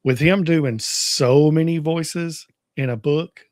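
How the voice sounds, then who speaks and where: A man's voice: fairly high pitch, darkish tone, no echo, studio quality. A man hosting a casual live stream, laughing easily and chiming in with short, agreeable replies. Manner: easygoing, quick to laugh, brief agreeing replies.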